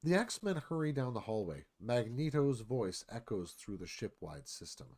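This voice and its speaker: A man narrates a screenplay for radio theatre. He is highly animated, with a medium pitch and clipped, dramatic phrasing. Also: normal speed, slightly rough.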